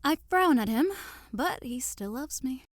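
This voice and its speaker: A woman's voice: sits high, a little slow, no echo, clean studio sound. Actress as an earnest, romantic young woman. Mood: friendly, earnest. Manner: earnest, expressive, theatrical. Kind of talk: modernized Shakespeare audio drama acting.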